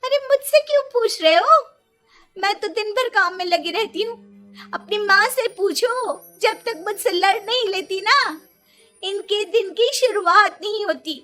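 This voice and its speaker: A woman's voice: extremely high pitch, unhurried, fairly bright tone, soft background music, pitched above the performer's own voice. A woman voicing a whiny, quarrelsome, indignant young wife in a children's cartoon. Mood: indignant, whining. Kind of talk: Hindi children's cartoon dubbing.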